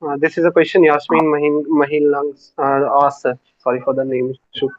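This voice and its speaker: A man's voice: fairly high pitch, level tone, fairly quick, no echo. A man moderating an online session, reading out questions politely and calling the speaker "sir" again and again. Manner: polite and deferential, ends lines with "sir". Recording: dull, dry lo-fi call audio.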